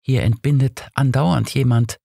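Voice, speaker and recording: A man's voice: medium pitch, steady tone, measured pace, creaky, mellow, breathy edge, no echo, studio quality, pitched above the performer's own voice. A man voicing a guarded, matter-of-fact young workhouse girl with a faint defiance, in an audiobook story. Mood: guarded, defiant. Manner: matter-of-fact, resigned, deferential in wording.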